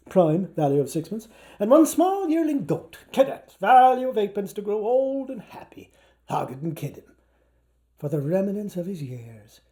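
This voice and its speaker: A man with an Irish accent giving an animated, declamatory stage reading of a novel, playful with the wordplay and shifting between hushed and loud delivery. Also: sits high. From Ireland or Canada. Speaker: actor performing a literary reading. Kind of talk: theatrical live reading of a novel.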